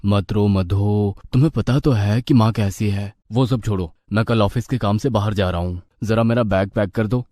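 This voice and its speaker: A man voicing a gentle young husband who speaks in a soft, consoling way, in a cartoon story. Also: lowish voice, fairly even intonation, normal speed, hardly any pauses, dry recording, studio quality, lightly acted. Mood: soothing.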